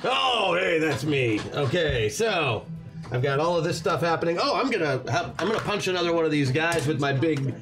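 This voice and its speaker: A man's voice: on the high side, expressive, moderate pace, music in the background. A man playing in a tabletop game, joking and teasing the table and laughing often. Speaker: player. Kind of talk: casual play chat.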